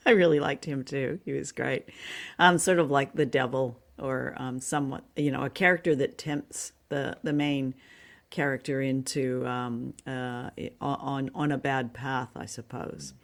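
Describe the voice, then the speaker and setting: A woman's voice: very deep voice, steady tone, unhurried. A woman co-hosting a podcast, conversationally reviewing radio drama in slightly tentative phrasing. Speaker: podcast co-host.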